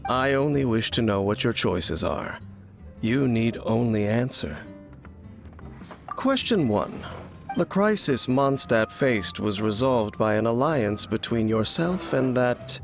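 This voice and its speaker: An actor playing a mysterious, guarded wandering swordsman who speaks in a measured, solemn and formal way. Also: on the low side, animated, gravelly, exaggerated voice. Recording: game audio with soft music.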